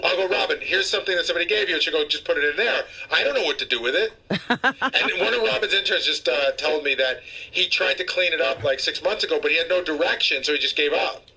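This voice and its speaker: A man working on a radio show, talking casually with a raspy, sharp voice. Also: a little high, quick pace, poor audio. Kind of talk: casual storytelling banter.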